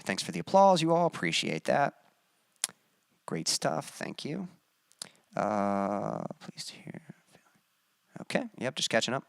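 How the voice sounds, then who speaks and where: A man hosting his own music live stream, chatting casually with viewers and reading their comments aloud, with many pauses and fillers. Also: fairly low pitch, creaky, smooth, no echo, clean studio sound. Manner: relaxed, halting, many fillers, reads chat aloud.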